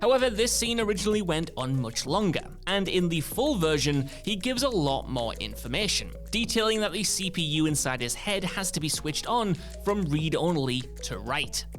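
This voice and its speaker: High-pitched male list-video narrator over music. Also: very animated, normal speed, hardly any pauses, fairly bright tone. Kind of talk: scripted entertainment voice-over narration.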